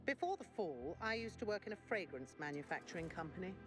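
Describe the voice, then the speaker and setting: A woman's voice: on the low side, sing-song, quick pace, music in the background. A woman acting an eccentric, dreamy middle-aged perfumer in a video game, savouring her words theatrically. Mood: enthusiastic.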